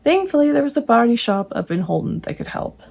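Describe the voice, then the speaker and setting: A woman reading true ghost stories aloud, breaking in now and then with jokey, animated asides. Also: on the high side, brisk, creaky, breathy edge. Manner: animated, jokey asides between read passages.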